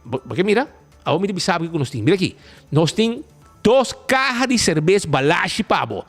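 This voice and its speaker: A man hosting a live show, with a high voice that leaps all over the place. He talks in casual, animated bursts and trips over his words with repeated restarts. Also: moderate pace, faint music behind. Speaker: show host.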